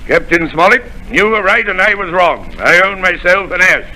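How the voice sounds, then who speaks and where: An actor playing a blustering gentleman squire in a 1930s radio drama, hearty and formal. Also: high voice, expressive, normal speed, heavily acted, poor audio.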